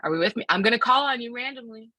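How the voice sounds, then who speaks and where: A woman's voice: on the high side, lively intonation, racing, pushed and bright, no room sound, studio quality. A woman from Philadelphia presenting a live online workshop. She speaks in an upbeat, encouraging way, asks the audience to join in, and uses many fillers. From Philadelphia, United States. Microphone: video call microphone.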